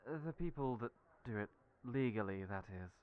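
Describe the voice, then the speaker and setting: A man voicing a young friend who talks earnestly and encouragingly, raised in pitch from his own voice. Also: expressive, normal speed, natural voice. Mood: explaining. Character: warm, reassuring friend, young adult. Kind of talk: audio roleplay acting. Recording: tinny phone-like audio.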